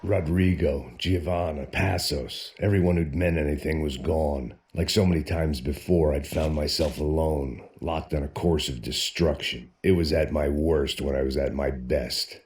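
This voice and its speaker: Very deep, world-weary American antihero. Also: male voice, moderate pace, hardly any pauses. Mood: bitter, resigned. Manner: world-weary, brooding, deadpan. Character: weary, bitter, self-loathing American ex-cop antihero. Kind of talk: scripted noir voice-over narration and game dialogue.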